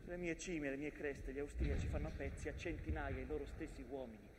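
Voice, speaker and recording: An actor playing a proud, anguished mountain that witnesses trench war, in a declamatory theatrical monologue. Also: male voice, a little high, steady tone, brisk, long reverb tail, background noise, close to an everyday voice. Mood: grave. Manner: declamatory, swelling from grave narration to outbursts.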